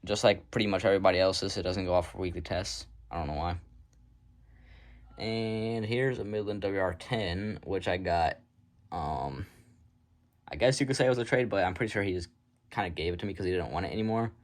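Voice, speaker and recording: Casting: man, low-pitched, casual hobbyist vlogger, offhand and self-deprecating delivery, unscripted rambling. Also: a little fast. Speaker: hobbyist showing a radio collection. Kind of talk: casual unscripted show-and-tell.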